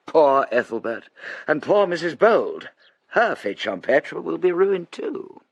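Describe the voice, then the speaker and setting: An idle young British gentleman in a period radio drama. His creaky voice swoops extravagantly through theatrical self-pity. It is recorded very dry. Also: on the high side, sing-song, slow pace, dry recording, lo-fi sound, full character voice. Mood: mock self-pity. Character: idle, sardonic young gentleman, mock-pitying and lazy.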